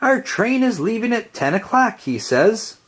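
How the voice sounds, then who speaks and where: A woman reading a children's picture book aloud in a gentle storytelling way. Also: breathy edge. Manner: slow, gentle storytelling with lively pitch. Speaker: storybook reader.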